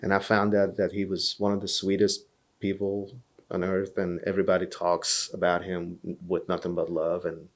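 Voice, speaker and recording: A man's voice: low voice, completely flat delivery, moderate pace. A man from Hungary with a Hungarian accent, telling his story as a podcast guest in an earnest way, often repeating words as he thinks. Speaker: podcast guest, blues musician. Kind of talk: relaxed interview storytelling.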